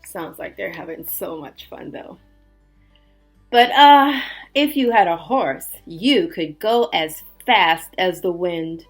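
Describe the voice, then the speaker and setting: A woman teacher reading a storybook aloud to children, expressive and emphatic, with little chatty asides. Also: lowish voice, slow, faint music behind.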